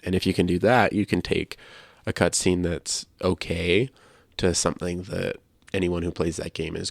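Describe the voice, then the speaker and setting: A man co-hosting a podcast, talking casually about video games in a measured, explanatory and opinionated way. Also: low voice, creaky, no room sound, clean studio sound. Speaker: podcast co-host.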